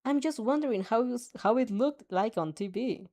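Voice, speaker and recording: Woman, fanfic reader, laughing asides, slightly high voice, mellow tone. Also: animated, normal speed. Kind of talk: reading a fanfic aloud with reactions.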